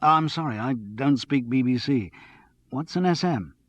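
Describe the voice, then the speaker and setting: A man's voice: mid-range voice, expressive, a little slow, no echo. A man reading an audiobook, voicing a polite, reserved man who asks questions with slight hesitation. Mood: curious.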